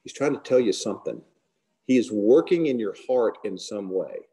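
A middle-aged man giving an earnest devotional talk to a men's group and closing in prayer, often trailing off softly at the ends of lines. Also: fairly low pitch, expressive, normal speed. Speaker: guest speaker. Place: large hall. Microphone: camera close below the face.

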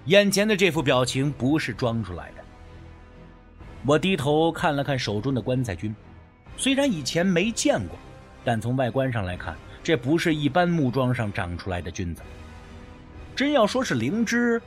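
A man playing a skeptical, sardonic first-person narrator of a folk ghost story audiobook, acting out dialogue. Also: medium pitch.

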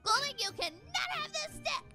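A woman's voice: very high-pitched, very animated, moderate pace, bright, forceful tone, faint music behind, heavily acted. A woman playing a bossy, exasperated little daycare girl in an improvised video game roleplay, exclaiming and giving orders. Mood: relieved, bossy.

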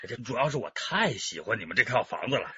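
An actor playing an ingratiating, over-familiar home buyer with a Northeastern Chinese accent in a radio drama. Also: male voice, medium pitch, fast, a crowd in the background, lightly acted. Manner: effusive, over-familiar, flattering. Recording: noisy, dull, distant radio drama sound.

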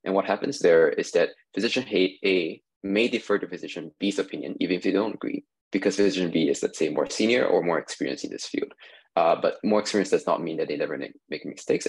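A man giving a recorded conference talk over slides, measured and explanatory, with many "uh" fillers, on a webcam mic. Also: low-pitched, vocal fry, slightly rough, rough recording. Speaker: industry researcher giving a talk.

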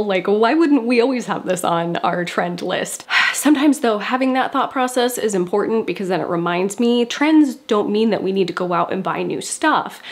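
A woman in her thirties talks straight to the camera in a small bedroom, her voice nasal and stuffed up from a cold. She chats eagerly and loosely, with plenty of casual fillers, like a vlogger sharing favorites. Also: medium pitch. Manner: chatty and enthusiastic, many "like" fillers. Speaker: fashion vlogger.

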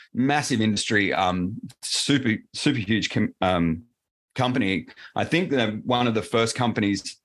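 A man's voice: lowish voice, a bit bright. A man with an Australian accent explaining technology as an interview guest, loose and full of fillers and restarts. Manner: rambling, many fillers and restarts. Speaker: presales solution architect, guest. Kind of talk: casual interview answers.

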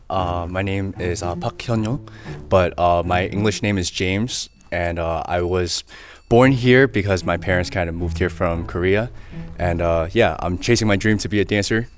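Deep-voiced guy, kinda unsure, lots of uhs, light music behind. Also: a little fast. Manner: hesitant, many fillers. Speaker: contestant.

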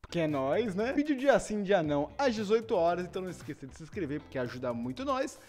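A man's voice: sits high, quick pace. A man in his thirties with a Brazilian Portuguese accent, hosting a casual video reaction show and laughing often. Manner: laughs often, animated and playful. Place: small decorated room indoors. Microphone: furry-windscreen mic in front of him.

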